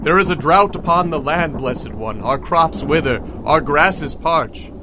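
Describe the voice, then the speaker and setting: Reverent, high-pitched village supplicant played by a man. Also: unhurried, background noise, lightly acted. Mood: pleading, reverent. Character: humble, pleading African villager worshipper. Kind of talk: scripted audio drama acting.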